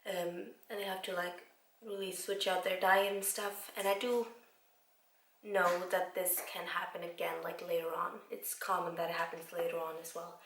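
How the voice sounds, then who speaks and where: A woman in her twenties from Iceland, slightly raspy after a cold, chatting casually to camera with lots of fillers. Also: on the low side, flat delivery, normal speed, a bit bright, reverberant, a little hiss or hum. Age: 20s. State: recovering from a cold. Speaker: vlogger. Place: home room.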